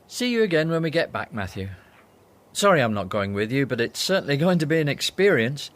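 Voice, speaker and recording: An actor with a Northern Irish accent playing Judas, a teasing, sardonic disciple who cares most about money, in a radio drama. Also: male voice, medium pitch, big pitch swings, a little fast, natural voice. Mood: eager. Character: Judas, scheming money-minded male disciple.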